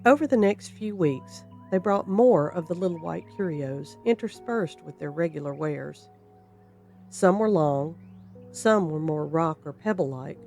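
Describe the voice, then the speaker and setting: Horror story narrator, mid pitch, measured suspenseful delivery, music playing behind. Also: expressive, creaky, a little mellow. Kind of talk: scripted dramatic storytelling narration.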